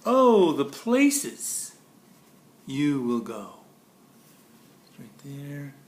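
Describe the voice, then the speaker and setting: A man reading a children's picture book aloud expressively, with pauses between phrases and chatty explanations to listeners. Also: a little high, sing-song, slight background noise. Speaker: storybook reader. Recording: echoey room.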